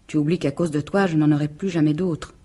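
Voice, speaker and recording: A woman's voice: deep voice, steady tone, a little fast, mellow, breathy edge. An actress playing a bitter, jealous woman bent on revenge, theatrical and sardonic, in 1950s French radio drama style. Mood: bitter, wounded. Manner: theatrical, sardonic, menacing monologue. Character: bitter, jealous, vengeful scorned woman turned cold killer.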